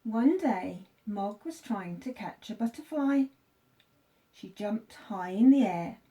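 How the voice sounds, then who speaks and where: A woman teacher reading a children's story aloud, with expressive, deliberate phrasing for young listeners. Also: medium pitch.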